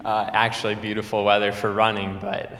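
A man's voice: lowish voice, monotone, fairly quick, fairly bright tone. Young man telling a story in an echoey hall. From Nebraska. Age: young adult. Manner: chatty, laughing, full of fillers. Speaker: student speaker. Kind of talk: storytelling speech. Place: lecture hall. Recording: echoey hall with long reverb.

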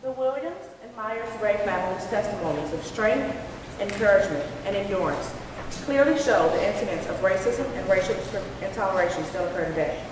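A woman's voice: mid-range voice, animated, brisk. A woman reading a formal prepared speech to a convocation. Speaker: presenter at a convocation. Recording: very echoey, noisy hall from far off.

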